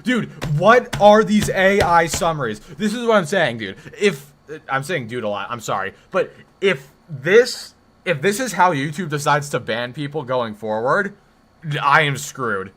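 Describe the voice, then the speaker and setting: Deep-voiced young man chatting on stream. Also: very animated, measured pace, fairly bright tone. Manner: rambling, joking, defensive banter with chat. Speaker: livestream host. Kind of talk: casual live stream chat, reading chat aloud.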